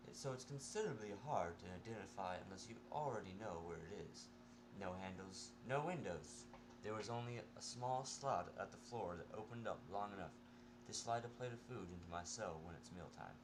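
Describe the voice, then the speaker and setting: A man's voice: lowish voice, expressive, a little fast, background noise. A man narrating a first-person story as an amateur reader, informal and prone to stumbling over words. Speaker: amateur fan fiction reader.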